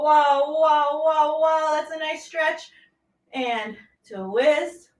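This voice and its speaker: A woman leading a children's movement warm-up as a teaching artist, playful and exclaiming, stretching out her words as she gives simple instructions. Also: sits high. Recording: roomy echo, distant from the mic.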